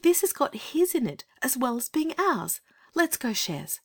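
A kind little English girl, played by a woman, speaks in a high voice with a very lively rise and fall. She is gentle, earnest and diplomatic, the peacemaker of a storybook. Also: unhurried, breathy edge, dry recording, studio quality, heavily acted. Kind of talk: dramatized children's storybook reading.

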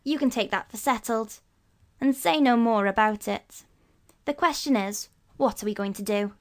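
A woman's voice: on the high side, moderate pace, natural voice. A woman playing a blunt, scornful schoolboy in a storybook, a bossy and miserable older brother. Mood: scornful misery. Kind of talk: storybook dialogue acting.

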